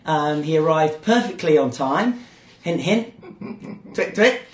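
A man hosting a podcast in a casual, joking way, his voice croaky and hoarse. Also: on the high side, lively intonation, slow pace, reverberant. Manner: chatty, joking, with filler words. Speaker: podcast host.

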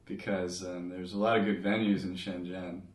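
A laid-back man from Canada talks about his music, hedging as he goes. His voice is very low and sits back from the microphone in an echoey room. He laughs easily. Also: flat delivery, moderate pace, recorded from a distance. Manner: easygoing, laughs readily, hedging with "I mean". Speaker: guest musician. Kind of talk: casual chat.

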